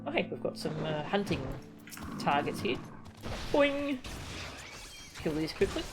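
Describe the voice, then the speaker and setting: A woman's voice: on the low side, very animated, a little slow. A woman chatting casually as she streams a game, commenting on what happens as she plays. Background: game music.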